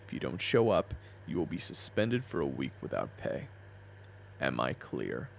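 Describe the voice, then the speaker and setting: A man playing a weary boss with a buried temper in a dramatic audio roleplay, gravelly and brooding. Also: a bass voice, sing-song, normal speed, reverberant, slight background noise, heavily acted. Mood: firm. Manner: brooding when narrating, exasperated in dialogue. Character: weary reformed hot-tempered man, a soft-pushover boss. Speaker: narrator and lead character.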